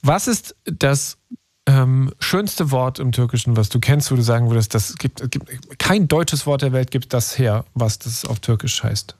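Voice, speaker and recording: Host interviewer, man, mid pitch, curious and friendly questions, dry close sound. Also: a little slow, darkish tone, no room sound. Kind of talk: casual interview chat.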